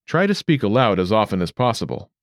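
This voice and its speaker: Man narrating a lively language lesson. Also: fairly low pitch, no room sound, studio quality. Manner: clear, measured teacher delivery. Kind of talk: scripted instructional narration.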